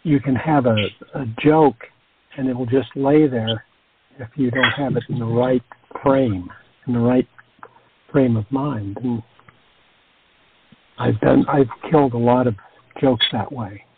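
A man chatting in an interview over a phone, speaking in halting, deliberate fragments. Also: mid-range voice, steady tone, breathy edge, dry recording. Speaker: cast member. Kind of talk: casual interview chat. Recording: noisy, clicking, tinny phone line.

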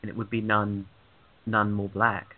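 A man running the soundboard and chiming in with dry, deadpan quips. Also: fairly low pitch, a little slow. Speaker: soundboard operator and co-host. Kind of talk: casual podcast chat. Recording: tinny phone line with faint hiss.

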